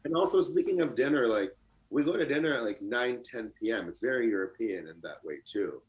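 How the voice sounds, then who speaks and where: A man in his thirties from Lebanon, raised in the US, chatting casually as an art contestant with a relaxed, rambling delivery full of fillers. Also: mid-range voice, a little fast, low-quality recording. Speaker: artist contestant. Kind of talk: casual chat.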